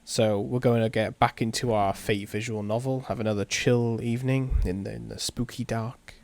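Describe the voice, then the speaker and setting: A man's voice: medium pitch, steady tone, normal speed, gravelly, a little mellow. A tired man with a sore throat, giving casual, laid-back let's-play commentary and reading a visual novel aloud over game music. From England. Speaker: gaming video host.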